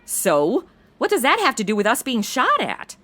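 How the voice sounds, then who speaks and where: Ditzy sassy young american gal, high voice, super swoopy, dramatic, audio comedy. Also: female voice, huge pitch swings, moderate pace, fairly bright tone, exaggerated voice. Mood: sassy, puzzled. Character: brash, ditzy modern American young woman, phone-obsessed.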